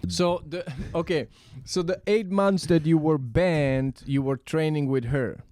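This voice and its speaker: A man whose first language is Slovak, hosting a podcast and chatting eagerly, full of quick "yeah"s and lively anecdotes. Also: a little high, dry recording, clean studio sound. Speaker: podcast host. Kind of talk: casual interview chat.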